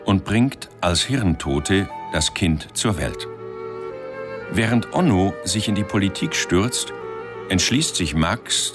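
An actor playing a deliberate, dramatic storyteller, narrating the recap of a German radio drama. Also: male voice, sits low. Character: male storyteller, deep and deliberate, recapping a saga. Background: violin music.

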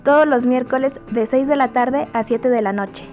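A woman's voice: high voice, normal speed. A woman with a Mexican accent reading scripted announcements as a radio co-host. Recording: dull narrow sound, music behind. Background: music.

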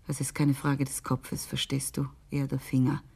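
An actress playing a grave, disillusioned government insider in a radio play, speaking in a measured, confiding way. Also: female voice, rumbling low, completely flat delivery, gravelly, darkish tone, slightly rough, heavily acted. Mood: cautioning, confiding.

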